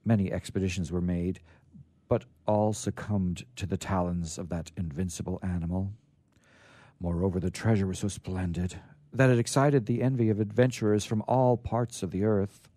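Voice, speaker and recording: A man's voice: sits low, fairly even intonation, moderate pace, dark tone, no room sound. A man hosting a radio show, chatting casually and reading old stories aloud.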